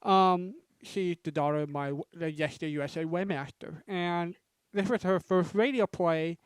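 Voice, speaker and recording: Clear-voiced woman hosting a video call. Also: fairly low pitch, normal speed, no echo. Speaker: panelist and event host. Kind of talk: casual explanation.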